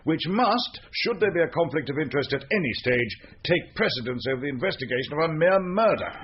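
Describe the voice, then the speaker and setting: An actor playing a pompous, formal British secret service officer in a radio drama. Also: male voice, a little high, animated, fairly quick, close to an everyday voice. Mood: grave, pompous. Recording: muffled radio recording with hiss.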